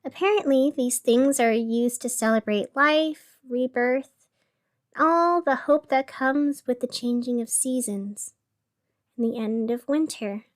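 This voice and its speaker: A woman's voice: high-pitched, slow pace. A woman playing a weary, lonely radio host, musing wistfully to herself in a scripted radio fiction monologue. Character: weary, lonely, melancholy female radio host in a gray city. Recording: music playing under the voice.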